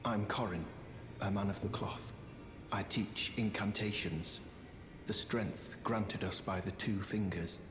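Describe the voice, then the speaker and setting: A man's voice: lowish voice, natural voice. Man acting a courteous cleric in an echoing hall. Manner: formal, measured, welcoming. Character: courteous, earnest cleric teaching incantations. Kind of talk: fantasy video game acting. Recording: echoey hall reverb under music.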